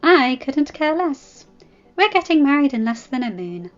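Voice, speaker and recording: A woman's voice: high voice, sing-song, unhurried, pitched above the performer's own voice. A daring young princess who teases in a light, lifted voice, as a woman narrator gives her voice. The audio is dull and lo-fi, with faint music underneath. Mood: teasing. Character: bold, teasing young princess.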